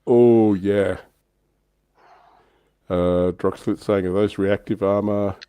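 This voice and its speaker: A man's voice: on the low side, fairly even intonation, slow pace, dark tone, clear, resonant tone, no echo. A man hosting a livestream, chatting informally through a casual hobby discussion. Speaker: livestream host.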